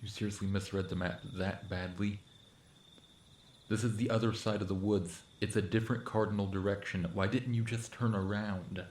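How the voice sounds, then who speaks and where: An awkward, sardonic monster in a friend's shape, acted by a young man for an audio roleplay. His voice is low and casual, with hesitant, wry phrasing. Some background noise sits behind it. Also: fairly even intonation, normal speed, darkish tone, reverberant, lightly acted. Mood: awkward, defensive. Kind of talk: audio roleplay acting, spoken to the listener.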